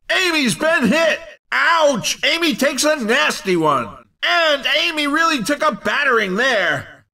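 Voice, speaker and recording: An actor voicing an excitable, showy racing game commentator with a shouted, punchy delivery. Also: male voice, extremely high pitch, recorded from a distance, exaggerated voice. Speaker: race commentator.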